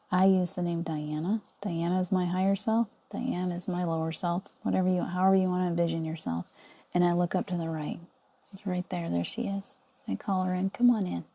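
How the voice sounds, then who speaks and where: A middle-aged woman leading a guided visualization meditation in a soothing, coaxing way, often dropping to a soft whisper. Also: fairly low pitch, gravelly.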